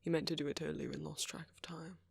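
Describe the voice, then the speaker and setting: A man playing a friendly, flirty vampire in an intimate ASMR roleplay, courteous and gently teasing, with a husky, murmuring voice close to the microphone. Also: a little high, flat delivery, quick pace, no room sound, heavily acted. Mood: gentle, teasing. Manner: gently teasing, polite old-fashioned phrasing, soft chuckles. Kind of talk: ASMR roleplay, intimate one-sided dialogue to the listener.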